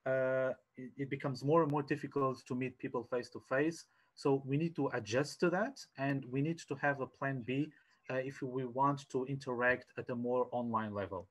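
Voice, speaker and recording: A man, giving an informal online presentation as a sales coach in a hesitant, halting way, repeating words, on a headset. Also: mid-range voice, poor audio. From Portugal. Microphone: headset on a video call.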